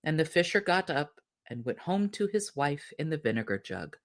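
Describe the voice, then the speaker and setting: A woman reading a fairy tale aloud as scripted narration, in an expressive storytelling manner. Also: deep voice, normal speed. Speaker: story reader.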